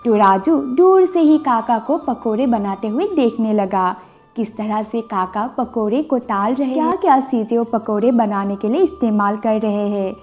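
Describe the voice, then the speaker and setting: Woman telling a kids moral story, voice on the high side, bit of music behind. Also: moderate pace, a little mellow. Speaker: storyteller, narrator. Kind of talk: scripted narration of a moral bedtime story. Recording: music bed under the voice. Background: background music.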